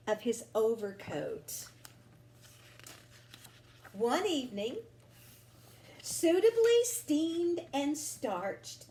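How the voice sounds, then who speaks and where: A woman reading a picture book aloud expressively, with dramatic, deliberate phrasing and emphasis on vivid words. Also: high voice, darkish tone, roomy sound. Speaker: storybook reader.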